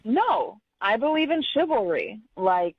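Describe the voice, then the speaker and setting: A woman's voice: fairly high pitch, very animated, unhurried, gravelly, slightly rough, dry recording. A woman arguing over the telephone in an indignant, confrontational manner. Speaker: phone-in guest. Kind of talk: argumentative phone conversation. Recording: dull band-limited phone line.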